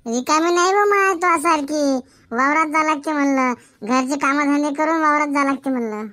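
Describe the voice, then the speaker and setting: A woman's voice: high voice, brisk, bright, forceful tone. A woman playing a defensive, argumentative village farm woman in a comic cartoon, with a rural Varhadi Marathi accent. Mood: defensive bickering.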